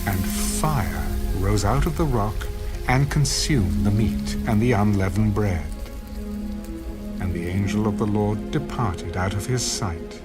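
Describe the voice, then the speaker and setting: A man with a mid-pitched voice narrates a dramatized scripture story. He reads from a script as the storyteller, with music clearly playing behind him.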